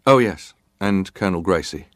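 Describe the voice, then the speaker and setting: A low, deep male voice in a dry, close recording. a stoic ship's officer testifying in a dramatized hearing. He speaks formally and plainly, in clipped, factual phrases. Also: expressive, moderate pace, no room sound. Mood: stoic, terse. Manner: clipped, matter-of-fact, formal. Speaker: actor reading testimony.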